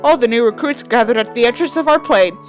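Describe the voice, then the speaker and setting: A woman's voice: on the high side, fast, faint music behind. A woman giving a dramatic reading with theatrical, mocking delivery and sardonic asides. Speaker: host reading a book aloud with mocking commentary.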